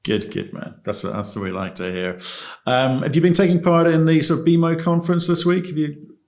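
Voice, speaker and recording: Relaxed male interview host. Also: a little high, fairly quick. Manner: relaxed, conversational, repeats words. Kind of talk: casual business interview.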